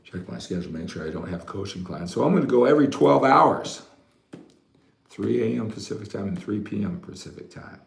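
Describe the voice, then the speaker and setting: A man's voice: fairly low pitch, lively intonation, a little fast, vocal fry. A mature American man who works as a fitness coach, chatting casually to livestream viewers in a rambling way, often tagging his sentences with "right?". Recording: roomy, echoey room sound.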